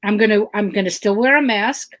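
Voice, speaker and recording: A middle-aged woman comedian with a loud voice, ranting emphatically and swearing freely in casual chat. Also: medium pitch, brisk. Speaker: comedian guest.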